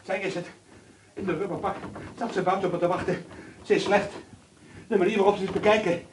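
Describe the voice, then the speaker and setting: A man's voice: high voice, fairly even intonation, quick pace, noisy background, lightly acted. An actor playing a nervy, earnest man, suspicious and haunted, in a radio drama. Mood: agitated, frightened. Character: jittery, indignant male amateur painter, haunted and suspicious.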